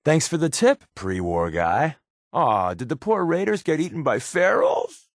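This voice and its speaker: An American actor playing a sardonic, wisecracking secret agent companion in a video game, with a wry, offhand delivery. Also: male voice, on the high side, big pitch swings, a little slow, no room sound, clean studio sound. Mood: mocking.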